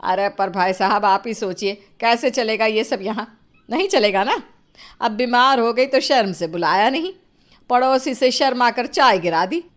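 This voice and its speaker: Exasperated high-pitched mother-in-law played by an actress. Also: animated, normal speed, close to an everyday voice. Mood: complaining. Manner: nagging, theatrical. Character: exasperated, dramatic, scolding middle-aged Indian mother-in-law. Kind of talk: acted Hindi moral story.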